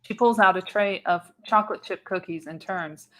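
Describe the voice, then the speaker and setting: A woman's voice: medium pitch, normal speed, a bit bright, low-quality recording. Woman narrating a live radio play. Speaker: narrator, show host. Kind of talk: scripted narration of stage directions in a live radio play reading.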